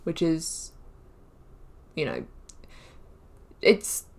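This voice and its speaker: A woman gaming YouTuber giving casual, chatty commentary as she plays through a role-playing game, reacting out loud. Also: low-pitched, huge pitch swings, very slow. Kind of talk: casual let's-play commentary.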